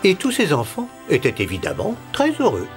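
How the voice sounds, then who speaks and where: A man narrating a royal fairy tale for a cartoon, with expressive scripted storytelling. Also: fairly high pitch, a little fast. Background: music.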